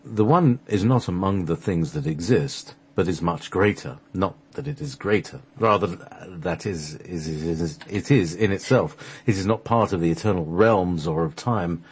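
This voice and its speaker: A man reading a mystical scripted text aloud as a radio show host, in a solemn, measured recitation. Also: deep voice, animated, slightly rough.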